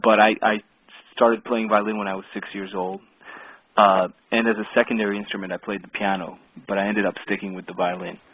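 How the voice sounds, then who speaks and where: Forties American man, musician guest; friendly rambler, husky, dry phone sound. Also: lowish voice, flat delivery, a little fast, dry recording. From Soviet Union, raised in Ohio. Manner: rambling, friendly, with light laughs. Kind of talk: phone interview. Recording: tinny, dry phone line.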